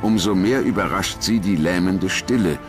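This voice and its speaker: A man's voice: deep voice, moderate pace, over music. A man narrating a documentary in a scripted style.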